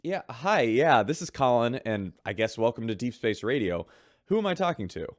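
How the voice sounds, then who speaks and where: An actor playing a chatty, gossipy radio show host on a spaceship in an audio drama. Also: male voice, medium pitch, big pitch swings, normal speed, no room sound, clean studio sound, close to an everyday voice. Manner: chatty, gossipy announcer delivery. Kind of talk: radio drama acting as a broadcaster.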